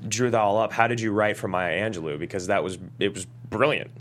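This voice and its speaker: A fairly low-voiced man chats casually and puts questions to a guest as a panelist. Faint noise runs behind him. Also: fast talker, fairly bright tone. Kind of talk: casual chat.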